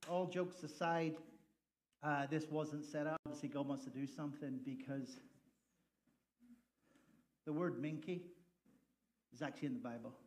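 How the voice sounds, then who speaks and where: A man's voice: fairly high pitch, steady tone, normal speed, pauses often. Male pastor, casual and joking from the pulpit, slightly raised voice. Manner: joking, chatty, loud laughing asides. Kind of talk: sermon opening with jokes. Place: church sanctuary.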